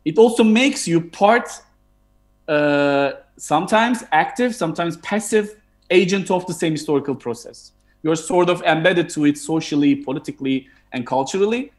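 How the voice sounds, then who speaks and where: A man in his thirties with a Turkish accent speaks over a video call from his home. He hosts a book talk in a hesitant way, full of fillers and restarts. His voice sits a little high for a man. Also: lively intonation, moderate pace. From Turkey. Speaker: historian and host. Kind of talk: moderating an online book talk. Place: home room, indoors.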